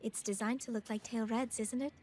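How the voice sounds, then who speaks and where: An actress playing a polite, formal teenage student council president in an English anime dub. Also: female voice, a little high, steady tone, brisk, darkish tone, slight background noise, natural voice.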